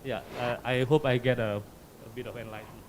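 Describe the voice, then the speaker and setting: A man's voice: mid-range voice, steady tone, fast talker, a little hiss or hum, rough recording. A man speaking hesitantly and tentatively as he puts a question from the floor, searching for his words. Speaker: audience questioner from an advocacy group.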